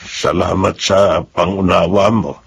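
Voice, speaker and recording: A man acting a gentle, apologetic middle-aged father in a Filipino radio drama, with a Filipino accent. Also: deep voice, steady tone, gravelly, husky, exaggerated voice. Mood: apologetic, concerned. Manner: slow, apologetic.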